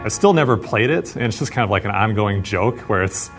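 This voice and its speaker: A man on a game company's staff speaking in a documentary interview, telling stories with animated enthusiasm. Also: on the low side, fairly quick, over music.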